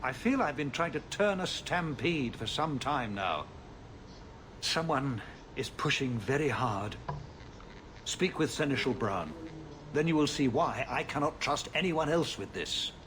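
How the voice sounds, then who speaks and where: An actor playing a weary, civil nobleman who rules a troubled city in a video game, measured, formal and dryly ironic. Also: mid-range voice, animated, fairly bright tone, slight background noise. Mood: worried.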